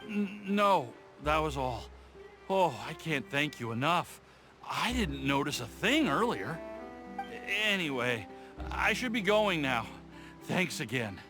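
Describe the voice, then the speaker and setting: A flustered, grateful townsman from a video game. He speaks politely and slowly, with hesitant, swinging intonation. Loud music sits behind the voice. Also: fairly high pitch, sing-song. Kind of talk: video game character acting.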